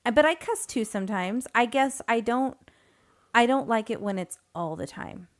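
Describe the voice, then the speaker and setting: A woman's voice: a little high, animated, normal speed. Hesitant female podcast co-host. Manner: chatty, hesitant, many fillers. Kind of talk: casual chat.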